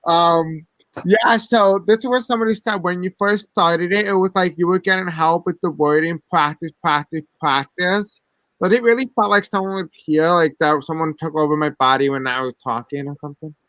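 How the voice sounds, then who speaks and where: Guy hosting a live tarot stream, bright sharp voice, dry close audio, giggly. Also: on the high side, moderate pace, no echo. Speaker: host of the channel, tarot reader. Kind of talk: casual live chat, reading viewer comments.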